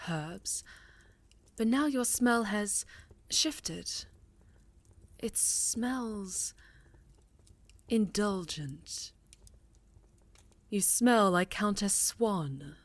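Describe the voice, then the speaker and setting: A woman playing a stern, highly strung witch leader in a fantasy ASMR roleplay, speaking formally and with command to a pupil. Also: dry recording. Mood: suspicious, probing.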